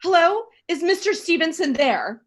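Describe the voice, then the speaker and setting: A woman's voice: very high voice, sing-song, moderate pace. A woman playing a nervous, frail, imperious housewife in a radio play, fretful and dramatic. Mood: fretful, impatient.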